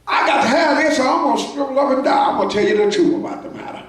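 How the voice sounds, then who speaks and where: High-voiced shouting preacher in an echoey church. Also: male voice, animated, fast talker, distant mic. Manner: preaching in shouted bursts with call-outs to the congregation. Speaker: pastor. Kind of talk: sermon. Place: church sanctuary.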